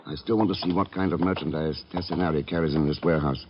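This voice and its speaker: A commanding, unflappable investigator from a 1950s radio play. The voice is very low, terse and dry with little patience, on a dull old recording with clear noise. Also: male voice, steady tone, fast talker. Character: cool, commanding male investigator, impatient and dry. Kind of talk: 1950s radio drama acting. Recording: muffled, noisy old radio recording, clicks.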